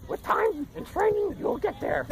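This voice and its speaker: A man's voice: extremely high pitch, moderate pace, vocal fry, a crowd in the background, pitched above the performer's own voice. A man voicing a small, kindly old alien sage puppet with inverted word order, in an outdoor puppet show. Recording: noisy outdoor camcorder audio.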